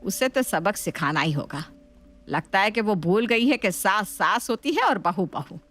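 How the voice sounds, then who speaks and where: A woman's voice: mid-range voice, animated, a little slow, fairly bright tone. A woman voicing a shrewd older mother-in-law in a family story, scolding and mock-sweet. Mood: stern, scheming.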